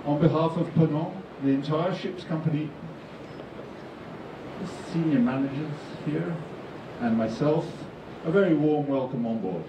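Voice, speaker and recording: A man's voice: on the high side, measured pace. A middle-aged man with an English accent giving a formal, ceremonious welcome speech as a ship's captain into a handheld microphone, with some self-mocking asides. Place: outdoor ship deck at night. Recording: echoey, distant, dull, noisy PA sound.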